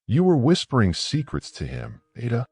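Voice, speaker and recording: A man's voice: mid-range voice, wildly swooping pitch, moderate pace, creaky, husky, no room sound, exaggerated voice. A man voicing an earnest young bridegroom in a folktale. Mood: suspicious. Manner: earnest, hushed when doubtful. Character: young bridegroom, admiring, later quietly suspicious.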